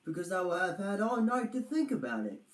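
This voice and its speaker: A bold storybook turtle gentleman acted by a woman speaks with theatrical pomp. He is chummy and confident, with a very animated delivery in a roomy, echoing space. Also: on the low side, brisk, far from the mic, voice pushed up. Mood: grand. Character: hearty, confident adventurous male turtle, chummy gentleman explorer.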